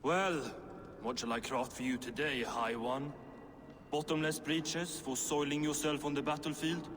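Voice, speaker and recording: An actor playing a gruff, foul-mouthed and sardonic dwarf master blacksmith in a video game, blunt and mocking as he haggles. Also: on the high side, steady tone, unhurried, lightly acted. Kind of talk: video game cutscene acting.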